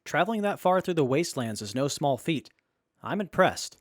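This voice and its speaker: Man playing a courteous, higher-voiced wasteland traveler. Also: very animated, normal speed, dry recording. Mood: impressed. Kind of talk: audio drama acting.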